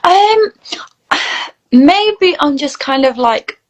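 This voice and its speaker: A woman's voice: high-pitched, lively intonation, drawn-out words. A woman guest chatting informally and candidly in a casual interview. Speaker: interview guest, actor and voice reel studio founder.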